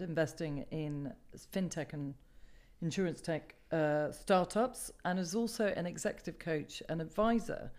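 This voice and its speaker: A woman hosting an interview podcast, presenting and asking questions. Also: fairly low pitch. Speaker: podcast host. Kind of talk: scripted podcast intro, interview.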